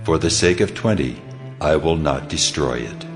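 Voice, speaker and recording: A man's voice: extremely low pitch, lively intonation, normal speed, soft background music. A man voicing a solemn, authoritative God in a dramatized scripture reading. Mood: solemn, calm. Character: the Lord God, solemn, mighty, merciful male deity.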